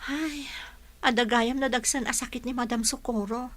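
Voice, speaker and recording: An actress in a radio drama playing a young student teacher, a sympathetic friend chatting gently. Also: female voice, a little high, fairly even intonation, moderate pace, close to an everyday voice.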